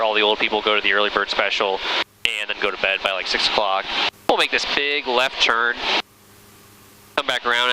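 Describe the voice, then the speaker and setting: An American man talks quickly over clear engine noise in a small plane cockpit. He is a flight instructor, explaining each procedure step by step as he does it. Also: fairly low pitch. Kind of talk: instructional cockpit commentary with radio calls. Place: inside a small airplane in flight. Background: steady engine drone.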